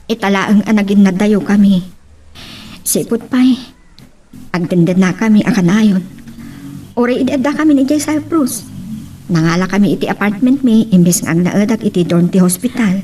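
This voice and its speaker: A woman's voice: mid-range voice, moderate pace, long pauses, dark tone, distant mic, slight background noise, lightly acted. A woman playing a burdened, self-sacrificing mother in an Ilocano family drama. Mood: troubled. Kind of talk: Ilocano family radio-style drama acting.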